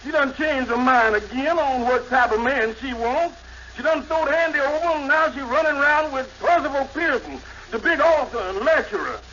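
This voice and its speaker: An actor playing an excitable, gossipy, wisecracking crony in a 1950s radio comedy, exaggerating everything in folksy grammar. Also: male voice, very high voice, normal speed, exaggerated voice. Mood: excited, gossipy. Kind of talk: 1950s radio sitcom acting. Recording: muffled, very noisy 1950s radio recording.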